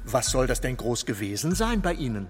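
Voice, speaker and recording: An actor playing an officious, self-righteous public prosecutor in a radio drama, pedantic and long-winded in legal language. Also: male voice, mid-range voice, very animated, fairly quick, natural voice. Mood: pompous, accusing. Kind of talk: German radio drama acting. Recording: long reverberant hall, faint music behind.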